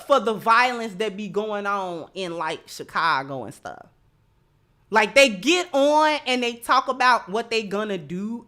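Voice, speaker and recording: A woman's voice: a little high, a bit bright. A woman giving opinionated live commentary as a host, emphatic, repeating phrases and posing rhetorical questions. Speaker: livestream host.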